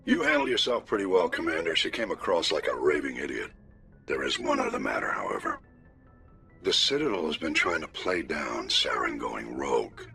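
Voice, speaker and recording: Very deep, gravelly fleet commander. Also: male voice, very animated, moderate pace, sharp tone, faint music behind, heavily acted. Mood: matter-of-fact. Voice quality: gravelly, husky. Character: gruff male senior military fleet commander. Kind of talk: video game cutscene acting.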